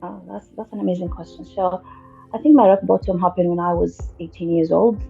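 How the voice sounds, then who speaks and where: A woman from Kenya, a teacher and mindset coach, calmly telling her life story as a guest. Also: lowish voice, a little fast, gravelly, breathy edge, highs cut off, soft background music.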